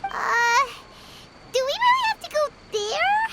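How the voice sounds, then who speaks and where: A woman voicing a tiny, childlike, excitable and easily frightened fairy companion in a video game, squeaky and expressive. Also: heavy background noise, exaggerated voice. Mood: nervous, frightened. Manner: refers to self in third person, expressive, drawn-out exclamations.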